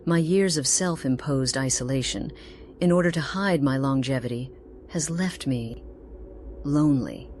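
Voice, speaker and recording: An actress voicing a long-lived, lonely woman in a fantasy game, thoughtful, courteous and formally eloquent in a measured way. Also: extremely low pitch, a little mellow, a little hiss or hum. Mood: wistful. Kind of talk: scripted fantasy game dialogue.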